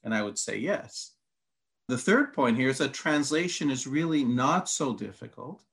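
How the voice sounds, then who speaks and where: A man's voice: mid-range voice, expressive, normal speed, creaky, a bit bright. Man with Hungarian roots teaching scripture. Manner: methodical, reads long quotes, counts points. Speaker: Bible teacher. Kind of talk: teaching conversation.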